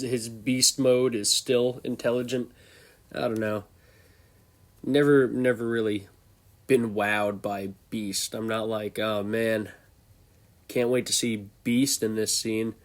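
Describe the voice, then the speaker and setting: Young guy with a cold, kinda stuffy, talks slow and thinks out loud. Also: mid-range voice. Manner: loose, off-the-cuff, thinking aloud. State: congested from a cold. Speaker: host. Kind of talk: casual tier-list commentary.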